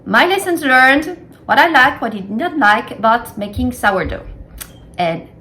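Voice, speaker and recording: A woman's voice: a little high, animated, unhurried, pushed and bright. A middle-aged woman from France with a French accent, chatting casually and explaining things step by step in her home kitchen, repeating points for emphasis. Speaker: cooking vlogger.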